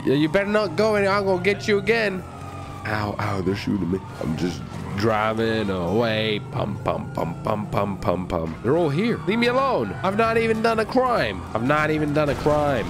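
A man commentates on a game with a highly animated, swinging pitch. He taunts playfully and swears as he goes, exclaiming often. Music plays behind his voice. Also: moderate pace. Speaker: gaming YouTuber playing online roleplay. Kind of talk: excited gameplay commentary. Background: music and game vehicle sounds.

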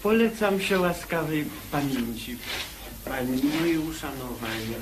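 An actor playing a fussy, obsequious pharmacist in a radio comedy, hesitant, stammering and very ceremonious. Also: male voice, fairly high pitch, lively intonation, measured pace, noisy background. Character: fussy, obsequious male pharmacist, awkward unwanted guest.

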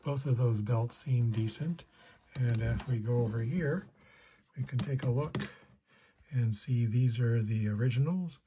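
A man's voice: mid-range voice, a little slow, noisy background. A middle-aged man giving casual hands-on tutorial commentary, thinking aloud in a tentative way. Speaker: repair video maker.

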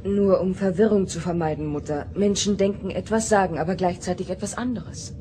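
An actress playing a composed, dutiful young alien daughter in a sci-fi audio drama, earnest and controlled. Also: female voice, medium pitch, brisk, dark tone, slight background noise, natural voice. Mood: earnest, restrained.

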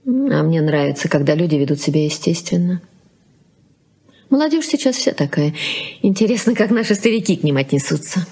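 An actress in a Soviet-era radio play, playing a conciliatory, gently wistful middle-aged wife and mother who persuades softly. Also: deep voice, big pitch swings, a little fast, husky, full character voice. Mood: gently persuading. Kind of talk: Soviet 1980s radio drama acting.

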